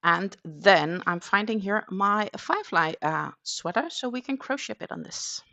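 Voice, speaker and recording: A woman's voice: mid-range voice, expressive, normal speed, vocal fry, bright, forceful tone. A Danish-born woman hosting a casual morning crochet livestream in English, chatting in a rambling way with lots of fillers. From Denmark.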